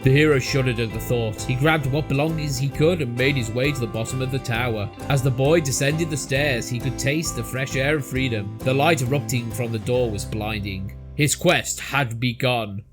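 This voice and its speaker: A man's voice: medium pitch, normal speed, hardly any pauses, over music. A man reading a scripted storybook tale as narrator, in a theatrical, mock-epic manner.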